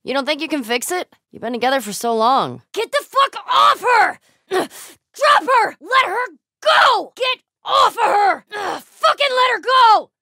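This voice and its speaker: A woman's voice: very high-pitched, very animated, a little slow, bright, forceful tone, no echo, studio quality, heavily acted. A woman playing a tough, sardonic, foul-mouthed young American survivor in a video game, blunt and naturalistic in delivery. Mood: casual, then furious, shouting. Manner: blunt, sweary.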